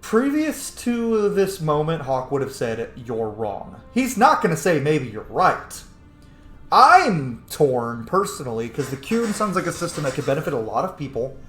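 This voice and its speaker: A man talks casually through a game playthrough, musing and emphatic. His pitch swings widely from phrase to phrase. The room is echoey, with faint music underneath. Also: sing-song, unhurried, a bit bright. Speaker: gamer, host of a roleplay playthrough.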